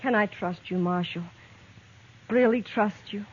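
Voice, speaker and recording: A woman's voice: mid-range voice, unhurried, slight background noise, natural voice. An actress playing a young woman in an old radio western, hesitant and earnest, often stammering. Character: young woman, torn and pleading, in love with an outlaw. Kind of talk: 1950s radio drama acting. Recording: tinny vintage radio transcription.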